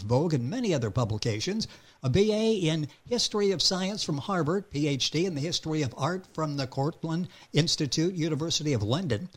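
Energetic male radio host. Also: a little high. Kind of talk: radio interview and introduction.

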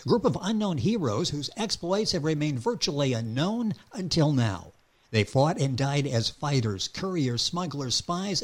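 A middle-aged man hosting a radio interview show, introducing his guest with an energetic, emphatic announcer delivery. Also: a little high, slightly rough.

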